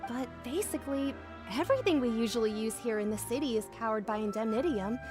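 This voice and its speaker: A woman's voice: a little high, animated, fast talker, close to an everyday voice. A woman playing a chatty, welcoming, cheerful shopkeeper in a video game. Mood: explaining. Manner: talkative, welcoming, breezy. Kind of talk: video game character dialogue. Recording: clear game music behind the voice.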